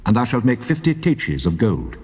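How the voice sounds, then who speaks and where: A man playing God as a solemn, commanding deity, giving measured, declamatory instructions in a dramatized scripture reading. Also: medium pitch, huge pitch swings, a little mellow, breathy edge, exaggerated voice. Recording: long cavernous reverb.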